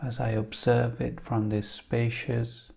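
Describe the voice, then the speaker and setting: A man leading a guided meditation in a calm, unhurried manner, leaving long pauses. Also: mid-range voice, gravelly.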